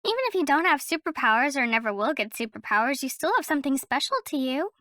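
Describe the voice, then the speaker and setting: Woman as a quick, high-voiced kindly cartoon girl. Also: animated, bright, forceful tone, no echo, studio quality. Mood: reassuring. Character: kindly young girl, reassuring friend. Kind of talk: cartoon voice acting.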